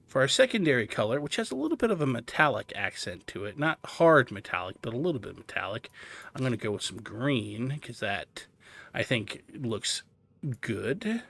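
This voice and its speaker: A man's voice: medium pitch, very animated, moderate pace, vocal fry, fairly bright tone. A man hosting a video game tutorial, casually explaining menus and thinking aloud as he plays. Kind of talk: casual gameplay walkthrough commentary.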